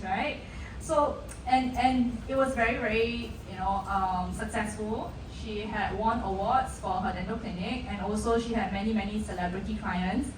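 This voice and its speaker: A woman hosting an onstage interview, chatty, repeating her words as she asks questions. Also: mid-range voice. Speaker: event host interviewer. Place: event hall. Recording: distant echoey hall mic, noisy.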